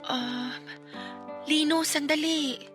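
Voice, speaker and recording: A woman's voice: high voice, fairly even intonation, slow, music in the background. A woman acting a nervous, hesitant young woman in a Filipino radio drama. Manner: hesitant, anxious. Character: nervous young woman visiting her friend's family home.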